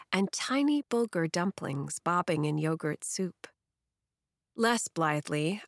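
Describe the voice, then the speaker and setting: A woman narrates a first-person essay for an audiobook in a silky, fairly low voice. The delivery is scripted and measured in phrasing, and the recording is dry and close. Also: no room sound.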